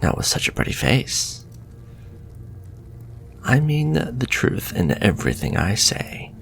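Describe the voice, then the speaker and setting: A man playing a suave, flirtatious, sinister warlock shopkeeper in a fantasy ASMR roleplay, speaking softly and courteously and drifting into breathy whispers. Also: mid-range voice, big pitch swings, takes it slowly, slight background noise, exaggerated voice. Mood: flirtatious, coaxing.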